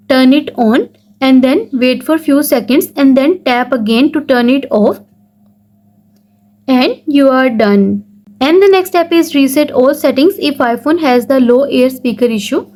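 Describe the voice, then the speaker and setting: A woman's voice: high-pitched, a little mellow. A woman hosting a tech tutorial, reading scripted step-by-step instructions in a formulaic, instructional way.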